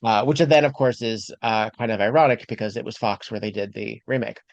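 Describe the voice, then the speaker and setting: A man's voice: fairly low pitch, brisk, gravelly, no room sound. Chatty man in his forties, dull dry sound. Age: 40s. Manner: animated, chatty, laughs easily. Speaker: podcast host. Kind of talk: casual interview chat.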